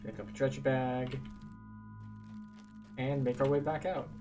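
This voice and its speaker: A man with a mid-pitched voice gives a casual game walkthrough. He talks as a guide, with faint music in the background. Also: little pitch movement, unhurried, distant mic.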